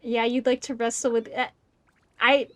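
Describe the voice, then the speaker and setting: A woman's voice: a little high, little pitch movement, moderate pace, fairly bright tone. A woman streaming a game live, chatting casually and reacting aloud in a playful, joking way. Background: game music.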